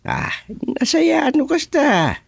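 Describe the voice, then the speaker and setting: A man playing an excitable, comic village chairman in a radio drama, exclaiming with drawn-out interjections. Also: very high-pitched, creaky, no room sound, heavily acted. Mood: animated, teasing. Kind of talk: comedic radio drama acting.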